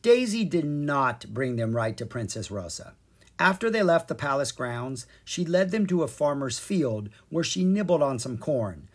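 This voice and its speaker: This is a storyteller reading a children's book aloud. The delivery is expressive and full of lively pitch movement. The voice has a slightly husky grain. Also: normal speed. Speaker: storyteller, podcast reader. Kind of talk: scripted narration of a children's storybook.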